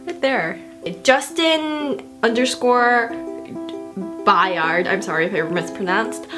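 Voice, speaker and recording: Teen girl vlogging, bubbly, jokes at herself, guitar music under it. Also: a little high. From South Korea. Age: teenager. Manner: bubbly, self-mocking, quick asides. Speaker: YouTuber answering viewer questions. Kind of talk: casual vlog Q&A. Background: background music.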